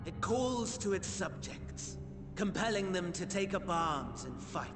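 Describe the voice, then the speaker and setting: A man's voice: high-pitched, sing-song, a little slow, music in the background. An actor playing a mocking male villain who speaks with sneering, theatrical glee in a game cutscene. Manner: sneering, theatrical, playful mockery. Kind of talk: video game cutscene acting. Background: orchestral game score.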